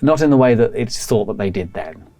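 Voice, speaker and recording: Man playing a hesitant librarian, rambling and evasive, lively pitch. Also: fairly quick, dry recording, faint music behind, natural voice. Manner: hesitant, rambling with many fillers and restarts. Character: fussy male village librarian, polite and evasive.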